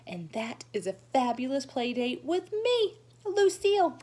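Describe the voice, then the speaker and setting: A woman's voice: high voice, sing-song, a little slow, mellow, voice pushed up, exaggerated voice. A gushing vain little rich girl, as a woman puts her on. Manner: sing-song, showy, self-adoring.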